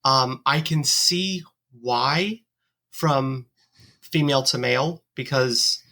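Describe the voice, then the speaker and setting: Female podcast guest, bright voice, frank and determined. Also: rumbling low, slow. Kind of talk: casual interview answers.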